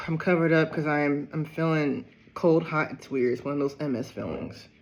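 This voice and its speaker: Man, gardening vlogger, high-pitched, chatty and rambling, tired. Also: normal speed, a little hiss or hum, rough recording. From Ohio, USA. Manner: chatty and rambling, often breaks into excited exclamations. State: low on energy, tired. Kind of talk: casual chat to camera.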